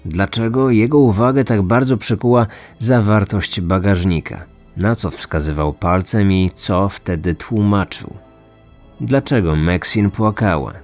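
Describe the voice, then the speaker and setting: A man narrating a scripted true crime story as podcast host, in a measured, serious manner. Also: on the low side, a little mellow. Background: background music.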